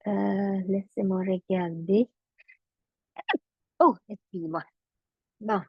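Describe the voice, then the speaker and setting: A woman's voice: mid-range voice, steady tone, slow, slightly rough, no echo, lo-fi sound. A middle-aged woman, originally from Vietnam, recording a casual numerology webinar as a coach, speaking hesitantly with frequent fillers and restarts.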